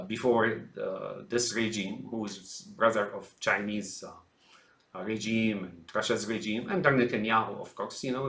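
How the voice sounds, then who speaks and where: A man's voice: fairly low pitch, bright, forceful tone, echoey room. A man from Syria giving a rambling, emphatic political monologue, often calling his listeners folks. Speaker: commentator.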